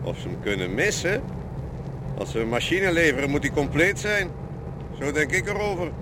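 An actor playing a brusque, self-important company boss in a radio play, curt and condescending. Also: male voice, a little high, expressive, moderate pace, background noise, natural voice. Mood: self-important, businesslike.

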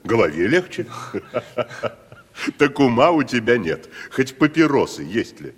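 An actor playing a pompous, worldly wandering gentleman who declaims theatrically in a classic Russian radio drama. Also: medium pitch, big pitch swings, slow, slightly rough, exaggerated voice. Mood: mocking, philosophical. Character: worldly, theatrical wandering gentleman, grandiloquent nephew of a rich landowner. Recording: long hall reverb.

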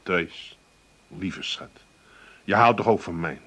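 An actor playing a middle-aged, exasperated and pleading Amsterdam husband in a comic radio play, stammering and repeating himself. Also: male voice, sits low, takes it slowly, pushed and bright, breathy edge, heavily acted. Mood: tender, pleading. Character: exasperated, pleading husband and put-upon son.

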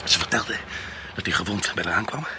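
An actor playing a calm, dry-humoured male detective who explains things in a level way in an old radio play. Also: deep voice, fairly quick, noisy background, close to an everyday voice. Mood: steady. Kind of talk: radio drama acting.

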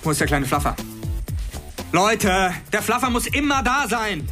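A man's voice: high voice, very animated, a little slow, pushed and bright, faint music behind, exaggerated voice. An actor playing a cocky, foul-mouthed, hot-tempered male porn star in a brash, slangy way, in a radio crime comedy. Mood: bossy, cocky.

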